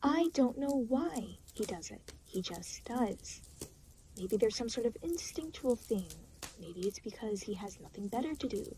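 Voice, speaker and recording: Casting: woman as aloof demon for audio roleplay, creaky voice, dry sarcasm, exasperated and weary, faint background noise. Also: a little high, expressive, unhurried, dark tone, poor audio. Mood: weary, sardonic. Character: aloof, exasperated demon, reluctantly babysitting a new soul. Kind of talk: audio roleplay addressed to the listener.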